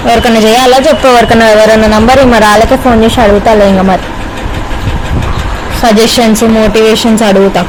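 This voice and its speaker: A young woman with a Telangana accent speaks in a high register at a rapid clip. She sounds like she is in a casual phone chat, pressing and grumbling as she goes. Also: little pitch movement, background noise. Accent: Telangana Telugu. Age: young adult. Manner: insistent, complaining. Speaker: prank caller.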